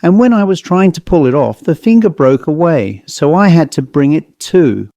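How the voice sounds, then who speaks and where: A man as an eager, higher-pitched reckless adventurer. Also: animated, normal speed, vocal fry, mellow, no echo. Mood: defiant. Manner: emphatic, eager. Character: excitable, reckless young English adventurer. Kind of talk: dramatised storybook reading.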